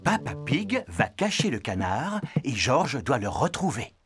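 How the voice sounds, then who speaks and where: A man narrates a children's cartoon. His medium-pitched voice moves with great animation over clear background music. The delivery is scripted, expressive and storybook-like. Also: unhurried.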